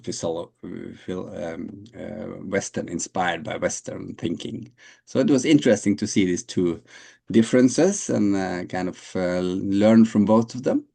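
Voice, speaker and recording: A man's voice: deep voice, creaky, darkish tone. A man in his late forties from Norway, answering interview questions in a hesitant way, with many fillers and restarts. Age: 40s. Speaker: guest.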